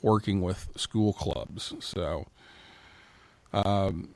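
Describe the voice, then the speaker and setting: A man's voice: low-pitched, drawn-out words, a little mellow, dry recording. Dry, close-sounding male radio host. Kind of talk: casual live call-in hosting.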